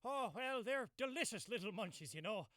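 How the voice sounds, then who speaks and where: Someone acting a rambly old irish farmer, bright lively voice, folksy radio play storyteller. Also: mid-range voice, moderate pace, no room sound, clean studio sound, lightly acted. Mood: jovial, chatty.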